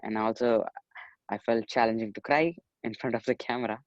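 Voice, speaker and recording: A man's voice: mid-range voice, fairly even intonation, brisk, no echo. Earnest young man, muffled dry sound. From Chennai, India. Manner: polite, earnest, many "you know"s. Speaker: young actor and musician, interview guest. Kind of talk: casual interview.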